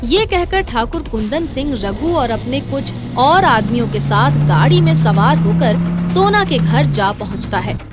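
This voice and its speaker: A woman's voice: fairly high pitch, very animated, unhurried, hardly any pauses, over loud music. A woman narrating a scripted moral story as a storyteller.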